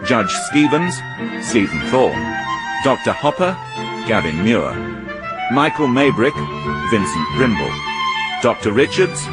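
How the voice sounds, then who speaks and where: A man working as a radio announcer, reading scripted credits and introductions in a formal, deliberate way. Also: medium pitch. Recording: loud violin music behind voice. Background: loud violin music.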